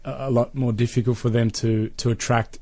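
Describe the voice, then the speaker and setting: Aussie bloke as radio guest, pretty flat pitch, tentative and low key, dry studio. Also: male voice, a little fast, no room sound. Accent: Australian. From Sydney, Australia. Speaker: interview guest, amateur rugby league player. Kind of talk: casual radio interview answers.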